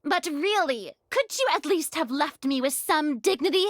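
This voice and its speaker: Very high, theatrical young noblewoman. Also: animated, normal speed, background noise. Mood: flustered, defeated. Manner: dramatic, haughty. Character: theatrical, proud young noblewoman, easily flustered. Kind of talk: anime game voice acting.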